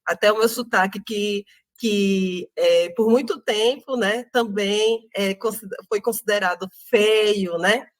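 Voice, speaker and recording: A woman with a Northeastern Brazilian accent, an interview guest speaking thoughtfully with many pauses and tag questions. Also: medium pitch, no room sound. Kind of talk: reflective interview talk.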